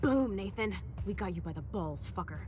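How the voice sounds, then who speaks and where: A woman's voice: mid-range voice, animated, normal speed, a little mellow, a little hiss or hum, lightly acted. An actress playing a brash, cheeky teenage girl sidekick in a video game, slangy, teasing and bold. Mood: excited, cocky. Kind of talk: video game dialogue acting.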